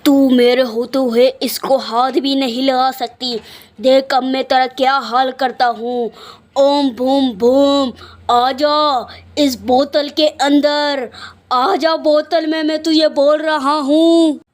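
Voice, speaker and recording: A woman's voice: high-pitched, level tone, takes it slowly. A woman voicing a bold male exorcist who gives orders and chants incantations. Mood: commanding. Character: male exorcist holy man, bold and commanding.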